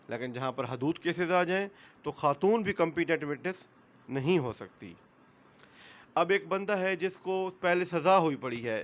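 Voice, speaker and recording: Male law lecturer, Pakistani accent, pitched a bit high. Didactic and repetitive, peppers his speech with English legal terms. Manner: didactic, repeats points and poses questions. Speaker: lawyer giving a lecture.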